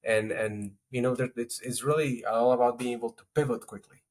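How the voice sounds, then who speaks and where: A man speaking fast in a relaxed interview, circling back on his thoughts with many restarts and filler words. His voice sits at a middle pitch and he sounds like a guest chatting into a podcast mic. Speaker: podcast guest, strategy executive. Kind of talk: casual interview talk.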